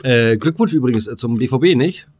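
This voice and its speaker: A man's voice: mid-range voice, expressive, fairly quick, a little mellow. A man co-hosting a podcast in casual comedic banter, rambling, repeating his phrases and joking dryly. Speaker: podcast co-host.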